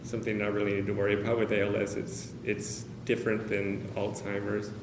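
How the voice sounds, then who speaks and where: A man speaking candidly to a meeting of caregivers, hesitant, with many pauses and fillers. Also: lowish voice, monotone. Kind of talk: personal talk to a small group. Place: meeting room with a group of about 45 people. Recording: roomy, noisy meeting-room recording.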